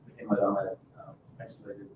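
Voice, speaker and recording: A man giving an informal presentation as a consultant at a public board meeting, with halting, hesitant phrasing. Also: fairly low pitch, monotone, a little mellow. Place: indoor town board meeting room. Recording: echoey room, distant mic, faint noise.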